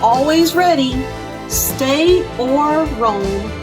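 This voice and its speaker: A woman's voice: high voice, animated, very slow, music in the background. A woman hosting a children's storytime, explaining simply and reading a picture book aloud for young listeners. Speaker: children's librarian, storytime host.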